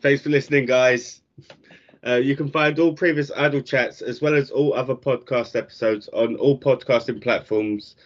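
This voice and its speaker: A man's voice: mid-range voice, fairly even intonation, normal speed. A man co-hosting a podcast, easygoing and wry. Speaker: podcast co-host. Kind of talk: casual banter and sign-off. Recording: muffled lo-fi podcast audio.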